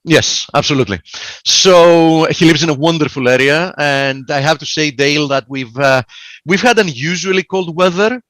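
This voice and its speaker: A man's voice: on the high side, moderate pace, a bit bright, no echo. Hesitant co-host man, dry sound. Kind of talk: casual webinar chat.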